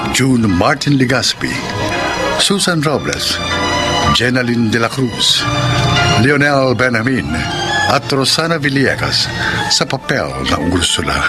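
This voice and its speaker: A man with a Filipino accent delivering scripted narration as a radio drama host. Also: mid-range voice. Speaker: host and announcer. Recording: loud music under the voice.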